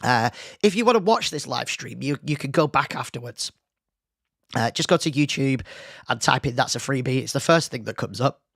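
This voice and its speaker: A man's voice: medium pitch, animated, normal speed, gravelly, a bit bright, breathy edge, dry recording, clean studio sound. A man hosting his own podcast alone, chatty and self-deprecating, rambling with fillers while losing his voice. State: losing his voice, slightly hoarse. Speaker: podcast host. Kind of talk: casual solo podcast chat. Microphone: studio mic with headphones.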